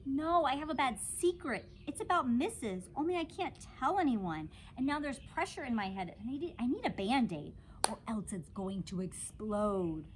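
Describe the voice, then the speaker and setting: Woman playing an excitable high-pitched little kindergarten girl. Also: normal speed, a little hiss or hum, natural voice. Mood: worried. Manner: exaggerated, whiny, blurting childish delivery.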